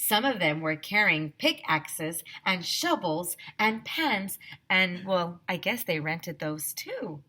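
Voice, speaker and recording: Expressive, bright-voiced woman reading to children. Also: on the low side, slow pace. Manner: expressive, addresses listening children directly. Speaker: teacher reading aloud to children. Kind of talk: animated children's story read-aloud.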